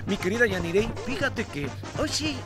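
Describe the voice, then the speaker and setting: A man's voice: high-pitched, lively intonation, moderate pace. A middle-aged man hosting a ranchero TV interview outdoors at a ranch fair, joking and exclamatory. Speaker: show host. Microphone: handheld mic. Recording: music playing behind.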